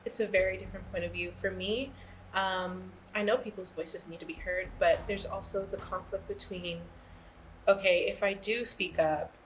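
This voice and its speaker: Earnest young woman, mid-pitched voice. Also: slight background noise, poor audio. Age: young adult. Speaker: student actor being interviewed. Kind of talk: earnest interview answers.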